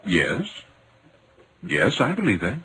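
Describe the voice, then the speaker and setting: A man's voice: deep voice, wildly swooping pitch, slow pace, pushed and bright, husky, full character voice. An actor playing an anxious male visitor who recounts an eerie encounter in precise, dramatic old-time radio horror style. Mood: earnest.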